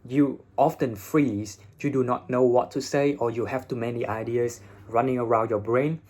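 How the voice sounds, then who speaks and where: A young man from Vietnam with a Vietnamese accent and a slightly husky voice, giving blunt, informal English-learning advice and swearing freely, recorded on a phone. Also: medium pitch, steady tone, moderate pace, darkish tone. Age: young adult. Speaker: English teacher and video host. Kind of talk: casual advice talk to camera. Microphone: phone, no separate microphone.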